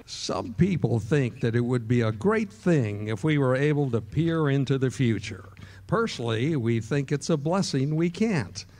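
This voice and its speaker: A man playing a lively old-time radio announcer. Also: normal speed, over music, close to an everyday voice. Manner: polished, upbeat pitchman delivery. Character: cheerful male radio announcer, smooth salesman. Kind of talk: 1940s radio announcer reading commercials. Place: live stage performance in a ballroom.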